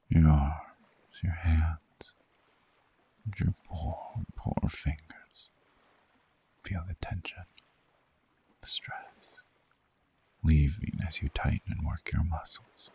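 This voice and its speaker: A man playing a seductive, soothing vampire lover in an ASMR sleep roleplay, speaking in a hushed, coaxing, breathy whisper. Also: a bass voice, full character voice.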